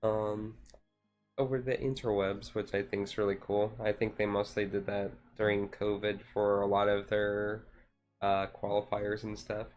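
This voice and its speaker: A man chatting casually on a chill livestream, rambling and halting as he goes. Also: lowish voice, flat delivery, darkish tone, soft background music. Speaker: streamer.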